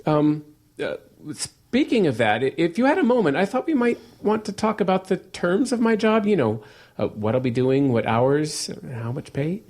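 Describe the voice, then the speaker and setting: Lively, hapless, stammering dad. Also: male voice, fairly high pitch, normal speed. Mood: nervous, deferential. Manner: hesitant, stammering, placating, salesman-like cheer. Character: hapless, broke, nervously upbeat father. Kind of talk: audio drama acting.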